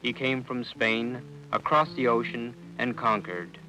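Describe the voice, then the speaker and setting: A man giving measured, solemn scripted narration for a documentary. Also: medium pitch, sharp tone, rough recording. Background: guitar music.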